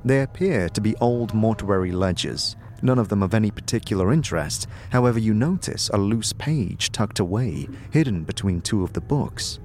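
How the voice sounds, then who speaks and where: A man narrating a scripted audio drama in the second person, with measured, descriptive storytelling. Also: lowish voice, expressive, darkish tone. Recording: music under the voice.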